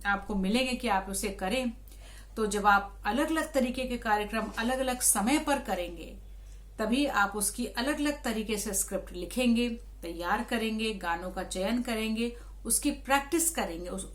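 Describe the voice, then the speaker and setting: A woman talking to viewers in an explanatory, conversational vlog style, restating points for emphasis. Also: on the high side. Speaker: radio jockey and vlogger.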